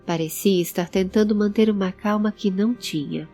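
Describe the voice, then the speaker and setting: A woman's voice: medium pitch, moderate pace, warm tone. A woman narrating a scripted audio drama. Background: background music.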